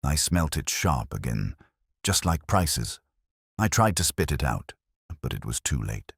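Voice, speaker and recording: A man's voice: a bass voice, very animated, a little slow, breathy edge, no room sound, clean studio sound, full character voice. A man voicing a weak, groaning old draper. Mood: weak, aggrieved. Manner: weak, complaining.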